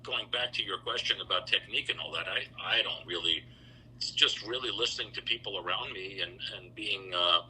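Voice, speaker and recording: A middle-aged man telling stories over a video call, relaxed and rambling with lots of fillers. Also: sits low, fairly quick, slight background noise, poor audio. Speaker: interview guest, founder of a choral institute. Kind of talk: casual storytelling interview.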